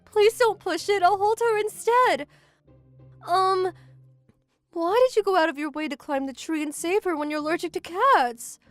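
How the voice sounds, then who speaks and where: Woman acting high, sweet schoolgirl Misaki. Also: moderate pace, no room sound, soft background music. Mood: shyly grateful. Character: Misaki, sweet earnest lovestruck teenage schoolgirl. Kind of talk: manga dub romcom acting.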